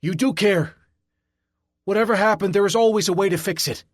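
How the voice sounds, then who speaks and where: A man voicing a worried male security guard who urges and pleads with his boss to calm down. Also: high-pitched, fairly quick, no room sound, clean studio sound, pitched above the performer's own voice. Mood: pleading. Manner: urgent, pleading.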